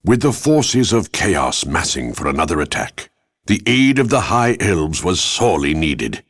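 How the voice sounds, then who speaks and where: An actor narrating a dark fantasy war saga for a video game in a grave, portentous manner. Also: male voice, deep voice, no room sound, clean studio sound, heavily acted. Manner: solemn, portentous. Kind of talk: scripted dramatic game narration.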